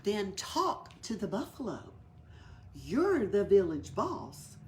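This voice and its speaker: A woman's voice: medium pitch, big pitch swings, slow pace, a little hiss or hum. A woman voicing a grumpy, demanding male gecko who complains insistently in a storybook reading. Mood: insistent complaining.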